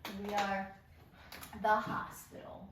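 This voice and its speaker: A woman's voice: mid-range voice, completely flat delivery, takes it slowly, a bit bright, a little hiss or hum. A woman reading stage directions aloud at an amateur table read. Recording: very echoey room, slightly distant mic.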